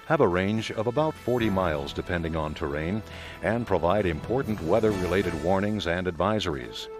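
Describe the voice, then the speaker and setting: A man with a low, deep voice narrates a scripted informational piece. Music plays clearly underneath his narration. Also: lively intonation, normal speed.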